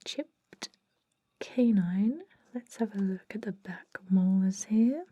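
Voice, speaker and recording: Woman acting a vampire dentist, breathy whisper, mellow, soothing, slyly polite, ASMR roleplay. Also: mid-range voice. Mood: soothing, focused. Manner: slow, gentle, deliberate, softly reassuring.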